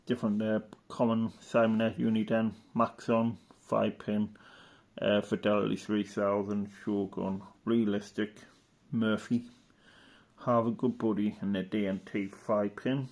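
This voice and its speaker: Low-pitched man rambling casually. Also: flat delivery, measured pace, darkish tone. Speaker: hobbyist presenter. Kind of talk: casual show-and-tell commentary over a catalogue.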